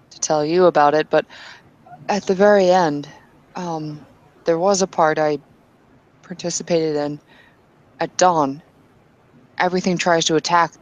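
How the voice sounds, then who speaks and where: A woman's voice: sits low, steady tone, a little slow, long pauses, low-quality recording. A woman playing a blunt, impatient woman in an in-character tabletop roleplay, speaking in hesitant bursts that turn opinionated. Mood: earnest, warning.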